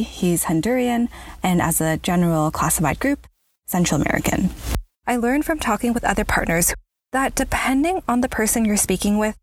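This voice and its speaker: A woman's voice: mid-range voice, dry recording. Upbeat woman podcast host. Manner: upbeat, enthusiastic. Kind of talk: scripted podcast intro then interview. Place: home. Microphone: budget lapel mic.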